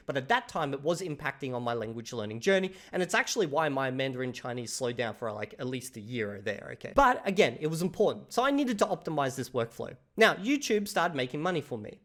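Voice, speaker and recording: A man, talking casually to camera about his routine, swearing freely and saying "bro" and "okay?" often. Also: on the high side. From Australia. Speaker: language-learning YouTuber.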